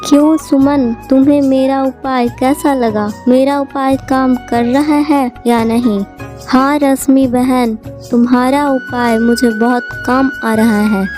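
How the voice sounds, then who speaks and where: A high-voiced, work-shy housewife from a cartoon fable, acted by a woman. She talks dramatically, full of complaint and sly scheming, with music clearly behind her. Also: steady tone, slow, a little mellow. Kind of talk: Hindi moral-story cartoon acting.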